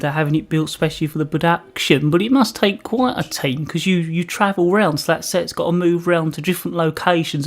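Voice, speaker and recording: A woman with a slightly low voice works as a radio interviewer. She puts her questions conversationally, in the informal style of community radio.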